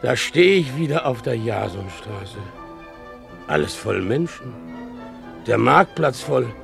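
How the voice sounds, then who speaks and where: A man's voice: mid-range voice, lively intonation, slow, creaky, a bit bright, full character voice. An actor playing a bewildered, agitated townsman in a radio play monologue, speaking in short exclamations. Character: bewildered, agitated townsman caught in public uproar. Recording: prominent music behind the voice.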